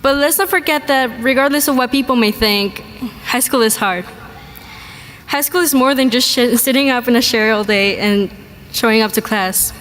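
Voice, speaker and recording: A teenage girl from Mexico, a graduating student, giving an earnest, measured commencement speech at a podium in a large hall. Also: fairly high pitch, a bit bright. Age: teens. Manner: earnest, measured, slow delivery with pauses. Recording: reverberant hall, faint background noise.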